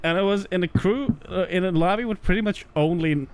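A man's voice: sits high, fairly quick, noisy background. A young man co-hosting a podcast, chatting casually with crude jokes and frequent laughs. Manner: crude, joking, laughs often. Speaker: podcast co-host. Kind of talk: casual chat.

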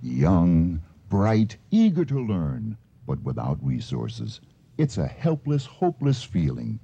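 A man's voice: sits low, huge pitch swings, takes it slowly, vocal fry, mellow, slight background noise. A man reading a scripted public service announcement in earnest radio style. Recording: dull, band-limited radio recording.

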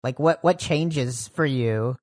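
A podcast co-host chatting casually, asking questions with halting restarts. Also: medium pitch, a little mellow. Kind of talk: casual chat.

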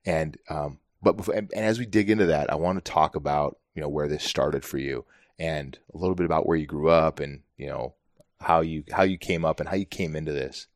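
A very deep-voiced man hosts a podcast, chatting in a relaxed, friendly way and laughing easily along with his guest. The sound is dry and close. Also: brisk, no room sound. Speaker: podcast host. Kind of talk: casual interview chat.

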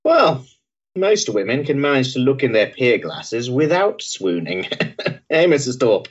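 An actor playing a dry, jovial Victorian doctor in a period stage drama, brisk and teasing in manner. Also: male voice, mid-range voice, very animated, slightly rough, dull audio.

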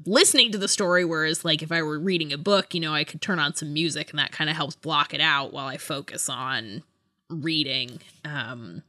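A woman's voice: low voice, a little fast, pushed and bright. A woman co-hosting a casual chat about books, often giggling as she talks. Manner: giggly, laughs while talking. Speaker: podcast co-host. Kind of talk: casual book-review chat.

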